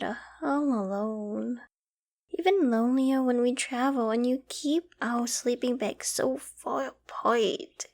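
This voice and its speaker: A woman's voice: a little high, a little mellow. A woman playing an obsessive, lovesick young survivor in an intimate roleplay drama, sweetly controlling, affectionate and possessive. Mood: dreamy, possessive. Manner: affectionate, giddy, possessive, with breathy sighs and giggles.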